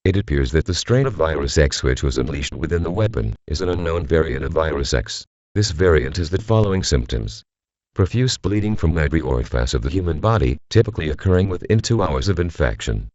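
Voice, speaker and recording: A man playing a grave government announcer, reading a scripted emergency bulletin in a formal, measured, solemn manner. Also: extremely low pitch, expressive, a little mellow, no echo, lo-fi sound. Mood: grave, official. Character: grave male government civil defense announcer.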